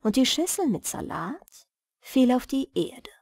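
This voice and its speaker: A woman narrates a bedtime fairy tale with careful, expressive phrasing. Her voice sits a little high and moves in a lively way, recorded close and dry. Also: unhurried, no room sound. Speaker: storyteller, audiobook reader.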